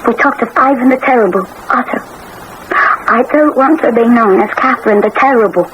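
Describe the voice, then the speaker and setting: An actress playing a proud, anguished young Russian empress in earnest, dramatic old radio drama style. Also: female voice, a little high, brisk, lightly acted. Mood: troubled. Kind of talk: 1970s-80s radio drama acting. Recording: muffled, noisy old radio broadcast.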